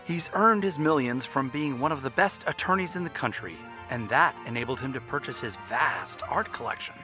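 A man playing the theatrical, deliberate storyteller of a mysterious dream tale in a radio drama. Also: medium pitch, lively intonation, reverberant, music in the background, close to an everyday voice. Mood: theatrical, intriguing. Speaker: narrator. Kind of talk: scripted radio drama narration.

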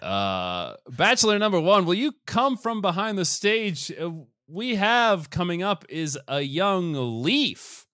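Animated man hosting a mock dating show. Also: slow, hardly any pauses, no room sound. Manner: booming, theatrical. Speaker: podcast host.

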